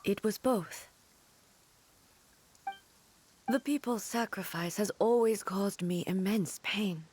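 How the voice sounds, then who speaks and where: A woman playing a solemn, regal immortal ruler in an anime game, with formal, measured, earnest speech. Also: mid-range voice, darkish tone, a little hiss or hum, natural voice. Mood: sorrowful, solemn. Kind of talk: dramatic anime game voice acting.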